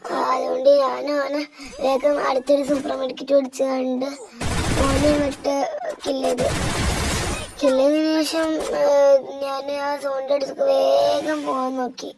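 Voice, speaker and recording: A gamer with a northern Kerala Malabar accent gives casual, halting commentary, recounting gameplay moves step by step. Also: sits high, level tone, vocal fry, over music, rough recording. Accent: northern Kerala Malabar Malayalam.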